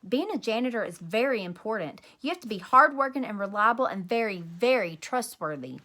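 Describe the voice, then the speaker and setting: Storybook kindergarten teacher, female, voiced by a reader: earnest, firm, deliberate, bright. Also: medium pitch, expressive. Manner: emphatic, deliberate.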